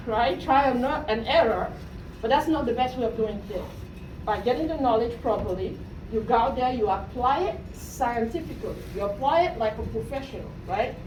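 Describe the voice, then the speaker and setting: A woman's voice: on the high side, darkish tone. A woman from Cameroon giving a motivational talk to students as a coach, checking in with questions as she goes. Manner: asks the audience questions, often adds "right?". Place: room at a university talk. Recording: roomy, distant mic, faint noise.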